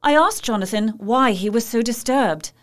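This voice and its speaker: A woman's voice: a little high, expressive, normal speed, close to an everyday voice. An actress playing a devoted, anxious young English wife, narrating her diary earnestly in an audio drama. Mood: uneasy.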